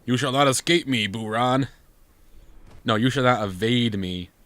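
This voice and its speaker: A man's voice: medium pitch, measured pace, fairly bright tone. A man co-hosting a gaming show with casual, playful, wisecracking commentary. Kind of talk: casual joking let's play commentary.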